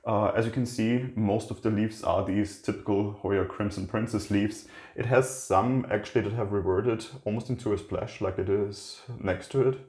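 A man hosting a casual plant tour in his kitchen, talking in a relaxed, rambling way with fillers and restarts. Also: lowish voice, moderate pace. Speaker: plant channel host. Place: indoor kitchen by a windowsill. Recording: echoey kitchen room.

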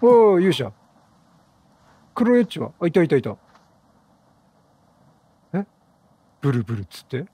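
High-voiced, animated man commentating on a game. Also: a little slow, long pauses, breathy edge, no room sound. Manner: chatty, exclamatory, reacts out loud. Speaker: gaming commentator.

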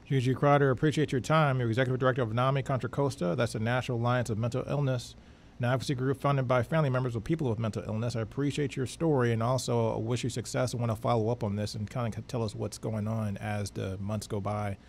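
A man's voice: mid-range voice, steady tone, fast, gravelly. American man hosting, with noise behind. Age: middle-aged. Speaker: radio show co-host. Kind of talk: conversational broadcast hosting. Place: small office studio, indoors. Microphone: close studio microphone with headphones.

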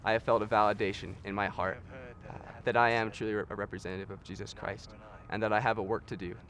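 Young man, from texas, lowish voice, earnest reflective interview talk. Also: level tone, fast talker, a bit bright. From Texas, USA. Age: young adult. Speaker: missionary.

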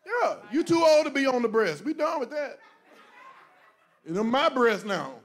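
High-voiced, animated pastor. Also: male voice, normal speed. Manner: animated, joking, bursts into loud emphatic exclamations. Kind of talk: preachy conversational talk to a congregation. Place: church stage before a congregation, indoors. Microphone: handheld mic.

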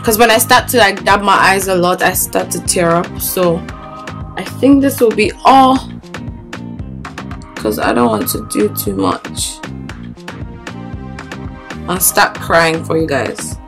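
A woman's voice: lowish voice, very animated, slow, pauses often, music in the background. A young woman casually chatting through a makeup tutorial in a small room, talking loosely with lots of "like" fillers. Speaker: beauty vlogger. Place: small indoor room against a plain wall.

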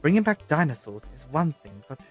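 Man playing a hesitant, animated scientist adventurer. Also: a little high, a little fast, faint music behind. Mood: earnest concern. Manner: stammering, polite. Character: earnest, hesitant young male scientist adventurer, politely anxious.

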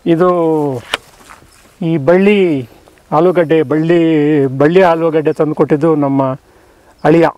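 An older man showing visitors around his dry farm orchard outdoors, talking slowly with many pauses and restarts. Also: on the high side, fairly even intonation, darkish tone, lo-fi sound. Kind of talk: casual farm walk-through. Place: outdoors on a dry rural farm among trees.

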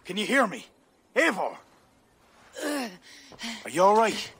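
A man playing an anxious, embattled middle-aged medieval king with a gravelly voice, urgently calling out in a video game. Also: high-pitched, wildly swooping pitch, takes it slowly, a bit bright, full character voice. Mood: worried. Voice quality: gravelly, strained. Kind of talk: dramatic video game acting.

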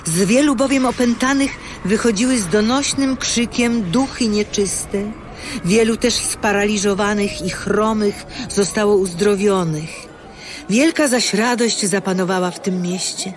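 A woman's voice: mid-range voice, measured pace, crowd noise. A woman reading scripture as scripted narration.

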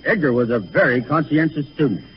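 A man's voice: medium pitch, lively intonation, a little fast. A man with a formal, courteous and reassuring manner, playing straight in a scripted 1930s radio comedy. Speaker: guest academic presenting a degree. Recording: muffled 1930s radio recording, faint noise.

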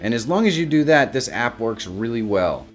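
A middle-aged man hosting a casual step-by-step software tutorial. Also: medium pitch, big pitch swings, normal speed, faint music behind. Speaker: tutorial host. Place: small home room, indoors.

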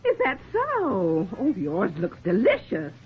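A woman's voice: medium pitch, huge pitch swings, takes it slowly. An actress playing a dithering society lady out to lunch in a 1940s radio comedy-drama, on a dull, noisy recording. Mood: fussy.

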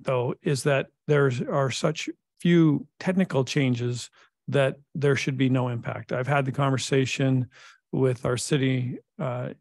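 A middle-aged man, a public works director, presenting a technical report at a council meeting in a measured way with frequent filler pauses. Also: medium pitch, gravelly, a little mellow, no echo, studio quality. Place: city council chamber.

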